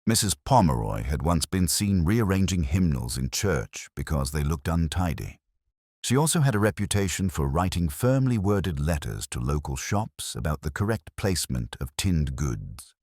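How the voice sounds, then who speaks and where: A man's voice: low voice, darkish tone, no echo, studio quality. A man reading scripted story narration in a formal, literary storytelling manner.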